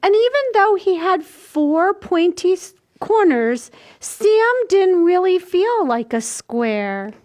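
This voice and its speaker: High-voiced older woman reading to children. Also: big pitch swings, a little mellow. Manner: simple, deliberate explaining to small children. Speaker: library storytime host. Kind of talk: children's storytime read-aloud. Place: small indoor room.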